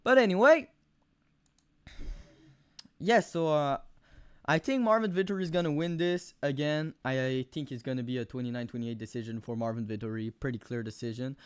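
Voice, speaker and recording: A young man with a thick Quebec French accent giving rambling, profane fight predictions on camera, full of fillers and sudden animated outbursts. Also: medium pitch, moderate pace, clear, resonant tone, no room sound. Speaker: MMA video host. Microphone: camera mic, close.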